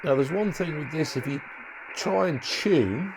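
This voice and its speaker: A man's voice: a little high, a little slow, noisy background. A man giving an informal hands-on demonstration as a hobbyist, thinking aloud in a hesitant way and often trailing off. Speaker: hobbyist presenter demonstrating modified radio gear.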